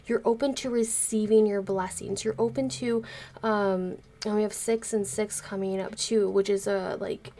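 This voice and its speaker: A woman's voice: mid-range voice, fairly even intonation, moderate pace, dark tone. A woman, congested and recovering from being sick, giving a chatty, rambling tarot reading to camera as the channel's host. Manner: chatty, rambling, many fillers. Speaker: tarot reader.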